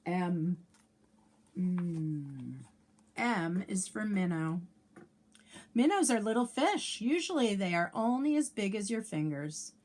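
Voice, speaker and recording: A woman reading a children's picture book aloud like a teacher, sing-song and clearly enunciated, with asides and questions for young listeners. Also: medium pitch, big pitch swings, takes it slowly, pauses often, darkish tone.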